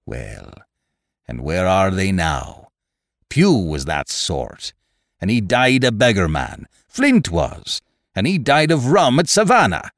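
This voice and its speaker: A man's voice: low voice, wildly swooping pitch, slow, no room sound, clean studio sound, heavily acted. A man doing a cunning old pirate cook. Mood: scheming. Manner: gruff, cunning, persuasive. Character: scheming one-legged pirate cook, old sea dog. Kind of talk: audiobook character voice.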